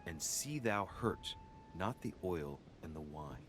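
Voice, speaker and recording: An actor playing a brooding young man in a video game, investigating clues and deliberately musing aloud to himself. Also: lively intonation. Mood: solemn. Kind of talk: dramatic video game acting, reading aloud. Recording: low rumbling background noise.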